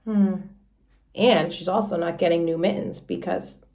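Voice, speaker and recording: A woman teacher reading a children's storybook aloud in an expressive, explanatory way. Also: on the low side, a little slow.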